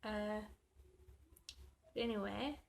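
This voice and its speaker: Slow chatty woman. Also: mid-range voice, creaky. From South Africa. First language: Afrikaans. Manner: chatty, self-deprecating, muttering while working. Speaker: planner vlogger. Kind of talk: rambling chat while planning. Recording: faint background noise.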